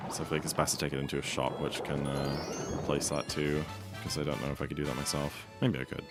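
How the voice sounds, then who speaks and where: A slightly hoarse young man streaming a speedrun, chatting casually and rambling with lots of fillers. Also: extremely low pitch, level tone, fast. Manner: rambling, laid-back, many fillers. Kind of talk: casual live-stream chat. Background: game music.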